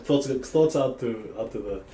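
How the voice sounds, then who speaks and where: A man's voice: medium pitch, unhurried, roomy sound, slight background noise. A man from Papua New Guinea hosting a podcast, chatting casually with lots of fillers and easy laughter. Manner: relaxed, laughs often, many fillers. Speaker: podcast host. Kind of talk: casual interview chat.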